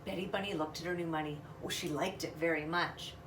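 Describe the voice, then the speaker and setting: A woman's voice: low-pitched, steady tone, fairly quick. Woman reading a storybook in an echoey room. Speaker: storyteller reading a children's book. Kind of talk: expressive read-aloud narration with casual asides. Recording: roomy, distant mic, faint noise.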